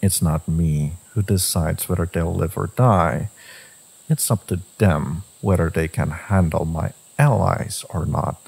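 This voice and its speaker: A man voicing a brooding, devoted fantasy villain in a romance audio roleplay, speaking deliberately in a low, gravelly, husky voice. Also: darkish tone, full character voice, rough recording. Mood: protective, intense. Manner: slow, deliberate, with pauses and sighs. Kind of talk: dramatic second-person romance audio roleplay.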